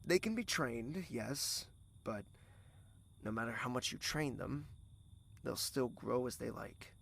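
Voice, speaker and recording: A gentle young man in an audio drama, played by a male actor. He talks slowly with a creaky edge and lively intonation. His manner is soft-spoken, wistful and fondly teasing. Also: medium pitch, heavily acted.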